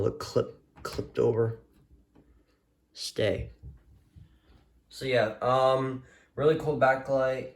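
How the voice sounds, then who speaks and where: A man's voice: medium pitch, slight background noise. A man giving a casual, rambling show-and-tell as a hobbyist, offhand and hesitant, sometimes muttering to himself. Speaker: hobbyist showing a radio collection.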